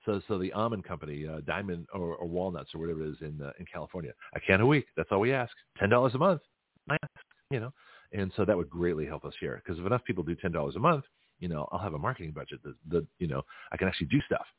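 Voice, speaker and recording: Fast-talking low-voiced guy, kinda husky, rambly radio host, sounds like over the phone. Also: lively intonation, no room sound. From Canada. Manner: rambling, with frequent restarts and chuckles. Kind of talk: casual political talk radio monologue. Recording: tinny phone-line audio.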